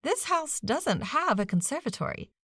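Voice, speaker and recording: A woman's voice: high-pitched, sing-song, moderate pace, fairly bright tone, no room sound, clean studio sound, voice pushed up. A woman voicing a shaken, impatient modern woman in an audiobook, asking clipped, demanding questions.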